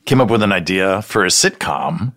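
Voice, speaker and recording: A man's voice: sits low, moderate pace. A man co-hosting a podcast, mostly chiming in with short remarks and prompting questions. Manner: brief interjections, lively prompts. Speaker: podcast co-host, comedy writer. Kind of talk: casual podcast chat.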